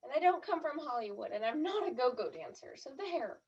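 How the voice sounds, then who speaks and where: A woman acting a shy, fibbing teenage tourist girl in a stage play, defensive and dreamy, with a husky, breathy voice. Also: high-pitched, big pitch swings, brisk, highs cut off, crowd noise. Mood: embarrassed, defensive.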